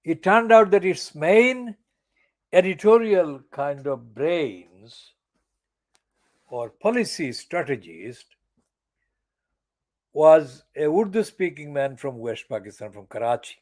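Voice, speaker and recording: Older male commentator, slow and emphatic, lively swooping pitch, profane and furious. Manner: slow, deliberate phrasing with stressed words. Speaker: channel editor giving commentary. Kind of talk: personal political monologue.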